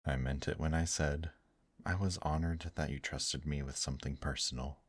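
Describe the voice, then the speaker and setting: A man voicing a gentle, teasing male demon in an intimate ASMR roleplay, speaking softly and courteously to the listener. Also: very deep voice, fairly even intonation, dry recording, clean studio sound. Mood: sincere, tender. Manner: slow, soft, courteous, affectionate teasing with endearments. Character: gentle, teasing male demon brothel worker, tender and attentive.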